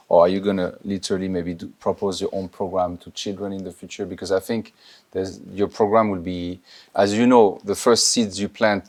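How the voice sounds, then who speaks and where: A man in his forties hosting a podcast interview, soft-spoken and asking long, searching questions. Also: low-pitched. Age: 40s. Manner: soft-spoken, frequent quiet murmurs of agreement. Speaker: podcast host. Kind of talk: conversational interview.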